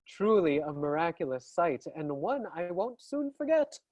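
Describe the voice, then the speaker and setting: A woman's voice: on the low side, very animated, measured pace, darkish tone, no room sound. A woman playing an effusive, grateful rescued heroine in a staged reading.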